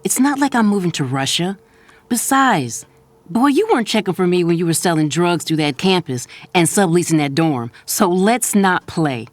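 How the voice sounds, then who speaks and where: A woman's voice: lowish voice, animated, normal speed, dry recording, natural voice. A woman playing a sassy, loving middle-aged mother in an audio drama, speaking frankly and conversationally. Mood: loving, teasing. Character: sassy, loving mother of grown children, fed-up wife. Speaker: actor.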